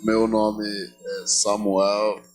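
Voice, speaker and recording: Slow, halting Nigerian man in echoey hall. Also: fairly low pitch, level tone, soft background music. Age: 40s. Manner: halting, searching for words, mixes in English filler phrases. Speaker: guest speaker, student. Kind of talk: informal speech to a seminar audience. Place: large indoor seminar room with reverb. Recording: echoey hall, distant mic.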